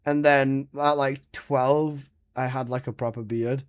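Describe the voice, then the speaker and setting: A man's voice: mid-range voice, steady tone, unhurried, clean tone. A man in his twenties streaming a game, chatting casually with his chat and bantering with lots of swearing and laughter. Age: 20s.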